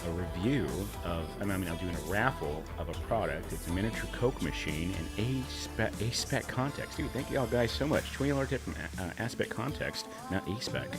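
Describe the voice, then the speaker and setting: List: man, livestream host, slightly hoarse, lowish voice, rambling casual chat, music behind. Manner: rambling, thanks tippers, breaks into silly high voices.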